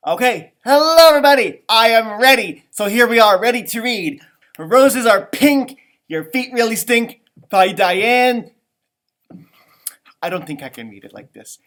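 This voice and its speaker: A man hosting a storytime for children, reading and chatting in a theatrical, halting, conversational way. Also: extremely high pitch, expressive, a bit bright. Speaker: storytime host and teacher. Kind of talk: children's storybook read-aloud with chatty asides.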